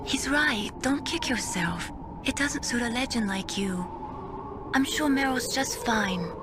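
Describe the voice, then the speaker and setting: A woman voicing a gentle young female support operator in a video game, reassuring and encouraging. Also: fairly high pitch, lively intonation, measured pace. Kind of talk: video game cutscene acting. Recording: clear background noise.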